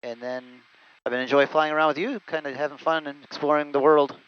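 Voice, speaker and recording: A man's voice: fairly high pitch, steady tone, fast talker, smooth. A man who is a pilot, chatting casually about flying from inside a small jet cockpit. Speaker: pilot, guest co-pilot. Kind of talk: casual chat, recounting flying career. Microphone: aviation headset. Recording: dull, muffled headset audio.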